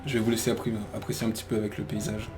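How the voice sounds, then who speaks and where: A man's voice: lowish voice, little pitch movement, racing, noisy background. A man hosting a gaming video with casual let's play commentary, chatty and hesitant as he thinks aloud.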